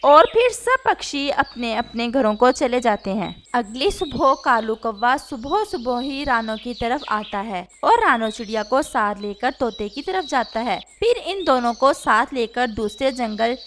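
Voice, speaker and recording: A woman's voice: fairly high pitch, sing-song, a little slow, few pauses, a bit bright, a little hiss or hum. A woman narrator reading a scripted children's story.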